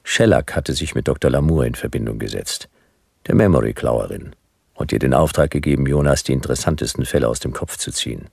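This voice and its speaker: An actor playing a laconic, world-weary private detective who narrates a noir radio drama in a gravelly, husky voice. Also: male voice, extremely low pitch, fairly quick, a little mellow, no echo, heavily acted. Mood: dry, laconic. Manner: laconic, dry. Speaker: narrator and lead character.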